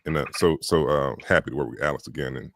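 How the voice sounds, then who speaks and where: Very deep-voiced man, loose chat. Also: quick pace, a bit bright, no echo. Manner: loose, rambling, peppered with "you know" and "right". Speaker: audiobook narrator guest. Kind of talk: casual live chat.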